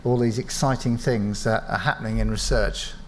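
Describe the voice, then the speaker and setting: A man from an engineering firm speaking on a panel at a live event, measured and reflective, modest about his own part in the work. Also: on the low side, steady tone, a little hiss or hum. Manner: measured, reflective, self-deprecating. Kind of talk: panel talk. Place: event space with live audience.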